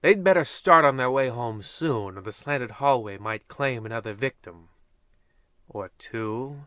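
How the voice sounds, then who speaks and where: A man's voice: medium pitch, lively intonation, a little slow, fairly bright tone, clean tone, no echo, studio quality. A man playing an ominous, theatrical announcer narrating in the style of a 1940s radio serial. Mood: ominous, dramatic.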